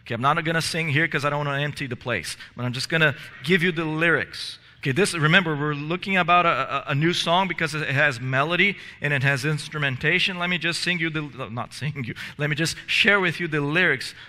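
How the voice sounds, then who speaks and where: A man in his thirties preaches in a church sanctuary, his voice strikingly bright and a little high. He talks earnestly, stumbles into restarts and repeated words, and a long hall reverb trails behind him on a headset mic. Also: brisk, few pauses. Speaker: pastor. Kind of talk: sermon. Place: church sanctuary in front of stage steps.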